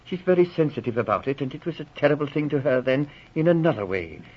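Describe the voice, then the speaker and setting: Husky, earnest gentleman doctor. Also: on the high side, expressive, fast. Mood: explaining. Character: earnest, hesitant gentleman doctor, confiding and cautious. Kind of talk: 1940s radio drama acting. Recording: muffled old radio broadcast recording.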